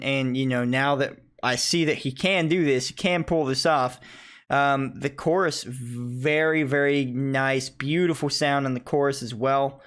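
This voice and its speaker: A man, a native English speaker, giving casual, analytical reaction commentary on music and thinking aloud in a halting way. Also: mid-range voice, fairly bright tone. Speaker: music reaction video host.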